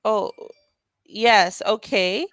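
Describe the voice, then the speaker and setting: A woman tutoring English over a video call, speaking slowly and patiently and asking simple questions. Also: medium pitch, fairly bright tone, no echo, studio quality. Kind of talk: casual tutoring conversation.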